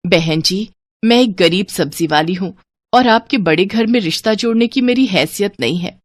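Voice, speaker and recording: A woman voicing a humble, loving, weary poor mother, softly and tenderly, in a moral story. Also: mid-range voice, moderate pace, no room sound, natural voice. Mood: humble, comforting. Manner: soft, tender, subdued.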